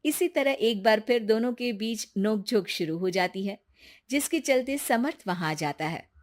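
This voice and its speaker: A woman's voice: on the high side, expressive, normal speed. A woman narrating a scripted family story as its storyteller.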